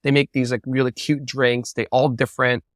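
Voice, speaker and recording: A man being interviewed, telling casual anecdotes and acting out quotes with animated energy. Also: mid-range voice, normal speed, no room sound, studio quality. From United States. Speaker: interview guest, bubble tea entrepreneur.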